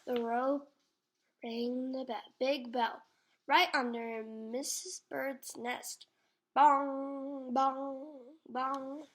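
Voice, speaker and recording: A woman reading a storybook aloud to young children in a deliberate manner. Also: high voice, flat delivery, fairly bright tone. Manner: deliberate, addresses child listeners. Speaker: storybook reader. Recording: faint background noise.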